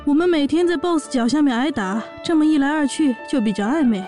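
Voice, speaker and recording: A woman's voice: high voice, brisk, darkish tone, soft background music, close to an everyday voice. A woman playing a brash, boastful pregnant orc warrior, a sassy and bossy older sister, in a fan audio drama. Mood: nostalgic, boastful. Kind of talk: audio drama acting and narration.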